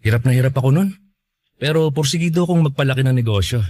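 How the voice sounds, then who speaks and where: A man playing a kind, gently joking young businessman in a Filipino radio drama. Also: medium pitch, quick pace, no room sound, close to an everyday voice. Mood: friendly, joking.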